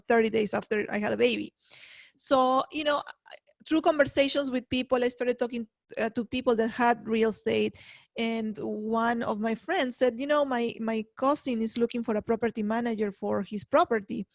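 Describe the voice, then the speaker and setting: A middle-aged woman from Peru, a real estate investor telling her story in a relaxed interview, with many fillers. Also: fairly high pitch, gravelly, no echo. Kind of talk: conversational interview.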